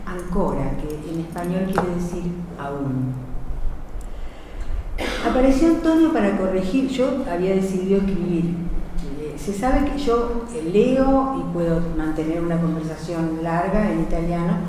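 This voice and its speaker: A woman's voice: on the low side, lively intonation, a little slow, dark tone, slight background noise. A woman poet with an Argentine Spanish accent, telling stories at a book presentation in an expansive, self-correcting way. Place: event hall. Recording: echoey hall from far off.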